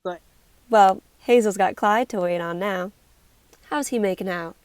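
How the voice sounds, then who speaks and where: A woman's voice: medium pitch, a little slow, darkish tone, a little hiss or hum, lightly acted, low-quality recording. A woman with an American accent playing a gossipy, sociable small-town woman in an amateur play. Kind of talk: amateur stage-play acting.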